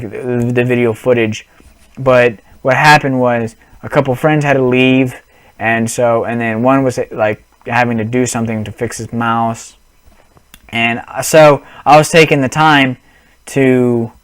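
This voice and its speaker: A man's voice: mid-range voice, clean tone, no room sound. A young man on a headset mic, rambling through a casual gaming vlog with lots of restarts and apologies. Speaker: gaming vlogger.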